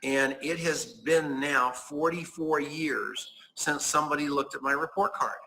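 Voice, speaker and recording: A man's voice: on the high side, measured pace, sharp tone, slight background noise, rough recording. A man in his sixties talking as an interview guest, with an emphatic, preachy manner that repeats key phrases. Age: 60s. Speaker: guest, business owner. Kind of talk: enthusiastic conversational pitch.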